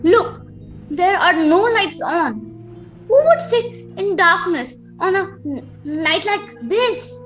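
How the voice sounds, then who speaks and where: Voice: very high-pitched, lively intonation, slow pace, fairly bright tone, faint music behind, exaggerated voice. A performer playing a nervous, imaginative young boy in an amateur radio play, protesting hesitantly. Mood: doubtful, protesting.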